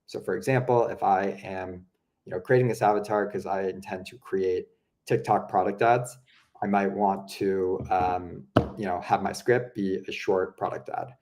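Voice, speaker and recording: A young man casually walking viewers through a software product, chatty and relaxed, with lots of "you know" and "um". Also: low voice. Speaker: product presenter. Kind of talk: casual explanatory walkthrough.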